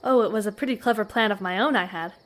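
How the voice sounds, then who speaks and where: A woman's voice: medium pitch, quick pace, a bit bright, close to an everyday voice. An actress playing a composed, resourceful young frontier lady in a comedy western audio drama, with polite, proper phrasing. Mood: cheerful.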